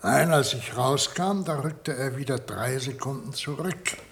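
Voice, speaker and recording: A rattled scientist in his 50s, radio drama, really breathy husky voice, guarded but earnest. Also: mid-range voice, measured pace, fairly bright tone, exaggerated voice. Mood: shaken, reflective. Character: 51-year-old male scientist, shaken patient, guarded, earnest. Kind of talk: German radio play acting. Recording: vintage radio play recording.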